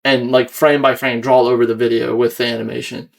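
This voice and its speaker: A man's voice: mid-range voice, little pitch movement, a little fast, gravelly. A young American man hosting a casual podcast, explaining his own creative work in a rambling, self-critical way. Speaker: podcast host and video creator.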